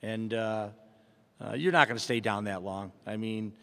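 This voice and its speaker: A man's voice: lowish voice, moderate pace, vocal fry, pushed and bright. Middle-aged guy coaching, press conference mic, talks upbeat w lots of uhs, big echoey room. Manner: plainspoken, upbeat, many fillers and restarts. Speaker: basketball head coach. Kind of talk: postgame press conference answers. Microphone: table mic in front. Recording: long hall reverb.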